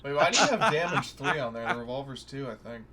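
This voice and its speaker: A man bantering casually as a tabletop game player, excitable and blunt, with some swearing. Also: medium pitch, quick pace, slight background noise.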